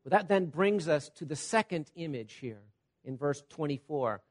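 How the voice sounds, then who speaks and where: A man with a high voice delivers a sermon in an animated way. He swings from loud, emphatic points to soft, quiet asides and often checks in with his listeners. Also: normal speed. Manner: repeats "Okay?" to check on listeners. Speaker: preacher.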